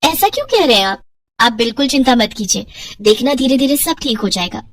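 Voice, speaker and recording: A woman's voice: fairly high pitch, expressive, brisk, far from the mic. A woman voicing a sweet, eager young woman in a Hindi cartoon story. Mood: reassuring.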